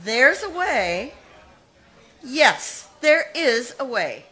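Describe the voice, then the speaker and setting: Woman voicing a jealous plotting sister, low menacing delivery, drawn-out words, vintage radio acting. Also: very animated, a bit bright, husky, full character voice. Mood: sinister.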